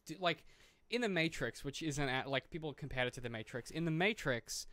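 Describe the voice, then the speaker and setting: A man's voice: on the high side, animated, fairly quick, fairly bright tone, no room sound. A man co-hosting a podcast, talking through a film in an informal, explanatory way and swearing freely. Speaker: podcast co-host. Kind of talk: casual film discussion.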